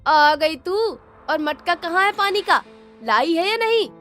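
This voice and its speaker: A woman voicing a cruel stepmother in an animated moral story, scolding with loud, sarcastic taunts. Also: very high voice, measured pace, faint music behind, pitched above the performer's own voice, heavily acted. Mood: furious.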